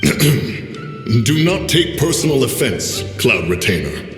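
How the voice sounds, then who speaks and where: A man voicing a calm, courteous, grave immortal in a video game, formal and measured. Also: medium pitch. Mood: placating. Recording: echoey hall-like reverb, faint music.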